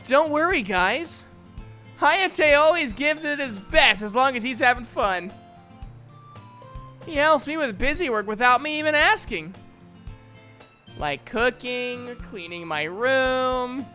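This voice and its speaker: Bubbly, guileless teenage game schoolgirl acted by a man, raised and bright, innocent chirp. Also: very high voice, expressive, slow, pauses often, voice pushed up, heavily acted. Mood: cheerful, proud. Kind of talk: video game fan dub. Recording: music behind the voice.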